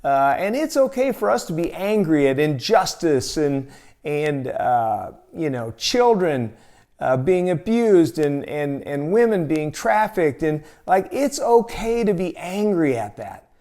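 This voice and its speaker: An American man telling a personal story in a casual, folksy, expressive and conversational way as a storytelling host. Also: a little high, a little slow.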